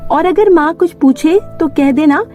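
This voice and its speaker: A naive, sweet young cartoon heroine acted by a woman narrator with a very high, mellow voice. She speaks emphatically and openly, as in a children's fairy tale, over faint music. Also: a little slow. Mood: coaxing. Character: sweet, trusting, easily frightened young woman. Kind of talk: Hindi animated fairy tale voice acting.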